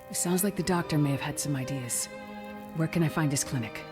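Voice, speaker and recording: An actress playing a composed, determined woman in a video game drama, calm, serious and reassuring. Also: deep voice, brisk, over music, lightly acted. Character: composed, determined woman searching for a missing doctor.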